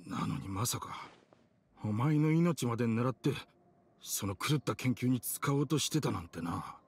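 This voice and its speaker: An actor playing a rough-spoken, loyal young gentleman in a Japanese video game, blunt and emotive. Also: medium pitch, expressive, a little slow, full character voice. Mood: indignant. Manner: emotive, blunt casual speech.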